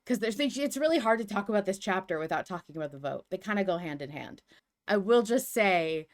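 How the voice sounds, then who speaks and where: A woman hosting a podcast in casual discussion, talking in an animated, emphatic and rambling way. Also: mid-range voice, a little fast. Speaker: podcast host.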